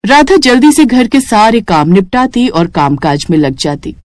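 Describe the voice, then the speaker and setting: A woman's voice: medium pitch, very animated, moderate pace, no room sound. A woman storyteller reading a scripted moral story for children.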